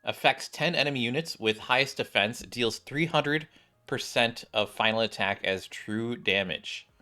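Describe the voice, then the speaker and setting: A young man streams a game in a bright, forward voice of medium pitch. He reads screen text and comments casually, thinking aloud, with soft music underneath. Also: measured pace. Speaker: gaming streamer.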